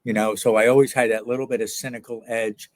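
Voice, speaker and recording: An older man from New York, an author answering interview questions in a reflective, hesitant way with many pauses and fillers. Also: medium pitch, little pitch movement.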